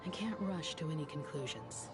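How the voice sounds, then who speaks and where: An actress playing a composed, analytical woman in a video game, speaking in a measured, cautious, deliberate way. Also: low voice, expressive. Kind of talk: video game story dialogue. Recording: loud background music. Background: game music.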